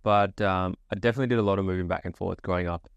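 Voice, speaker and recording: A young man from Sri Lanka answers interview questions in a relaxed, casual way, often restarting his sentences. His voice sits very low and comes across smooth and resonant. The recording is dry and close, with no room sound. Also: very fast, no echo, dull audio. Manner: easygoing, casual, many fillers and restarts. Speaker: guest, agency founder.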